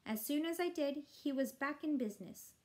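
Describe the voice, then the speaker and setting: Woman, children's story narration, teacher reading aloud, expressive, raised pitch. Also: moderate pace.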